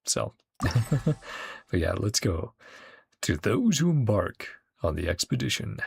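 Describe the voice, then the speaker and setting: Chatty man with lively pitch and creaky voice. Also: takes it slowly, slight background noise. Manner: rambling, chatty. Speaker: game streamer. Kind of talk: casual reaction stream commentary.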